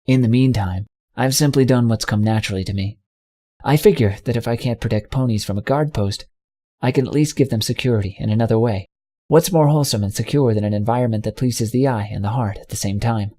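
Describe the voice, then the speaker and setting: A man voicing a soft-spoken, earnest stallion pony gardener in a storybook reading, close to his own voice. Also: mid-range voice, fairly quick, close to an everyday voice. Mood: wistful.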